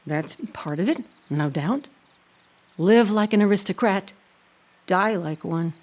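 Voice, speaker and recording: An actress playing a kindly, reflective housekeeper in an audio drama, speaking in a grave, deliberate way. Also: female voice, medium pitch, lively intonation, a little mellow. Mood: sombre.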